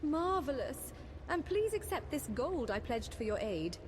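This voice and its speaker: A woman voicing a courteous, earnest young mage companion in a fantasy game, speaking formally and gently. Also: high voice, big pitch swings, heavy background noise. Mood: earnest, pleased. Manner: formal, eloquent, gentle, measured. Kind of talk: scripted fantasy game dialogue.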